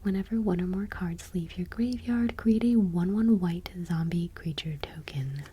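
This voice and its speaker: A woman doing ASMR, reading gently and unhurriedly in a breathy whisper, with casual asides. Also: on the low side, moderate pace, a little hiss or hum. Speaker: ASMR host.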